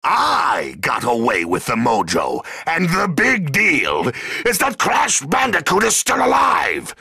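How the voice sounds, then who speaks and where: An actor playing a scornful, domineering evil spirit mask in a cartoon video game, with a gravelly voice. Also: male voice, on the high side, wildly swooping pitch, slow, bright, forceful tone, dry recording, exaggerated voice. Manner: stern, domineering.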